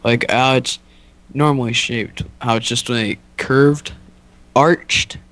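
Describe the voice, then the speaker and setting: A young man with a medium-pitched voice co-hosts a casual podcast. He rambles through unscripted chat in a goofy manner, talking himself up with mock boastfulness. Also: steady tone, slow pace, a bit bright. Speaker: podcast co-host.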